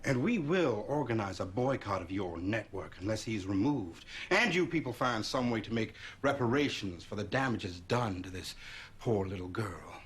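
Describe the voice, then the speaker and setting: A man's voice: fairly low pitch, lively intonation, moderate pace, fairly bright tone, a little hiss or hum. An actor playing a stern, indignant activist leader, formal and emphatic as he makes demands in a film drama. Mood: indignant, firm.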